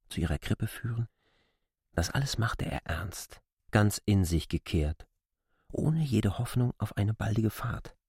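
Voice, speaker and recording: Very low, gravelly male narrator. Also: darkish tone, no room sound, clean studio sound. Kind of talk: literary audio drama narration.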